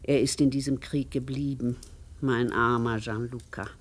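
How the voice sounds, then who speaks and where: An actress playing a devout, tender, grieving servant woman in a German radio play, moving between hushed and insistent delivery. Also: rumbling low, steady tone, moderate pace. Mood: tender, wistful.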